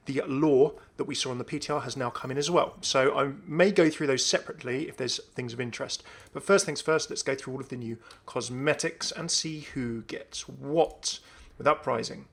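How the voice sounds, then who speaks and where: A man hosting a gaming video with casual, enthusiastic commentary in a creaky voice. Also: mid-range voice, normal speed, few pauses. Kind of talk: casual commentary on a game update.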